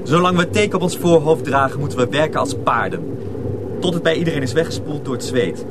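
Actor as higher-voiced mill hand over loud noise. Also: male voice, animated, brisk, close to an everyday voice. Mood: explaining, relieved. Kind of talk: radio drama acting.

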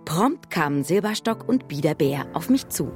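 A woman's voice: low-pitched, very animated, normal speed, a little mellow, soft background music. A woman acting as the narrator of a radio play, telling a mystery from her childhood. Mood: reflective.

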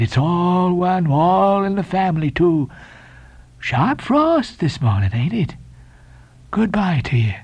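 A man voicing an uncanny, cracked old sailor-prophet in an audiobook, teasing and cryptic, with drawn-out repetitions in seaman's dialect. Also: fairly high pitch, darkish tone, breathy edge, no room sound, heavily acted.

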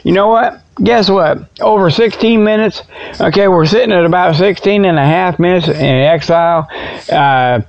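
A man's voice: high voice, moderate pace, creaky, dull audio. An American man hosting a solo radio show, rambling in a folksy, joking way with stammered repetitions. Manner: folksy, jokey, stammering repetitions, chuckles at own jokes. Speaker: internet radio show host. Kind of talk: rambling solo comic monologue, mock product pitches.

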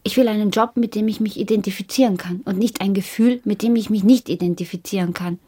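Actress as an uneasy, husky-voiced woman. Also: mid-range voice, fast talker, mellow, close to an everyday voice. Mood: resistant. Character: uneasy, resistant woman dependent on pills and therapy. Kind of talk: German radio drama acting.

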